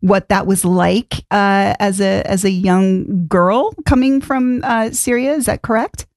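A woman's voice: mid-range voice, fairly even intonation, measured pace, darkish tone, dry recording, clean studio sound. A woman interviewing an author, asking questions in a casual, encouraging way.

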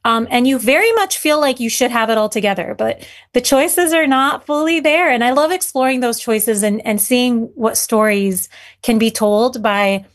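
A woman's voice: a little high, moderate pace. A young woman author from Syria, answering interview questions earnestly with many "you know"s. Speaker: author guest.

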